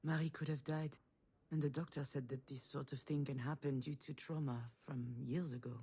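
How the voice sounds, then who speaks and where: An actress playing a guarded, self-doubting adult woman with a weary, sardonic, measured way of talking in a naturalistic video game drama. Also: deep voice, fairly even intonation, mellow, slight background noise, close to an everyday voice. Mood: shaken, defensive.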